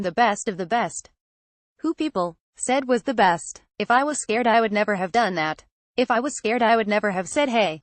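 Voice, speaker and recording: A woman's voice: medium pitch, no room sound. A woman's synthetic text-to-speech voice reading a written transcript aloud as a narrator.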